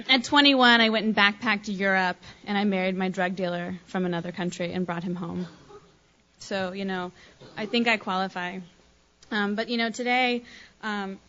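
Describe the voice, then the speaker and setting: A woman's voice: mid-range voice, sharp tone. A woman from the United States in her thiries giving a candid, self-deprecating recovery share, rambling with many fillers. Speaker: recovery meeting speaker. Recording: dull lo-fi recording.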